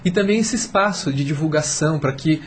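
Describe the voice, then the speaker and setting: A man's voice: a little high, a little fast, slight background noise. A Brazilian man explaining earnestly and conversationally as a guest on a radio panel, sometimes posing rhetorical questions.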